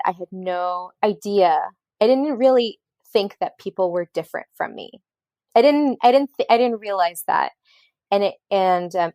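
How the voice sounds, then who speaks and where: A woman's voice: fairly low pitch, no room sound. A woman in her thirties from Colombia with an American accent, a podcast guest telling her story in a rambling way with many fillers and restarts. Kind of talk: casual storytelling interview.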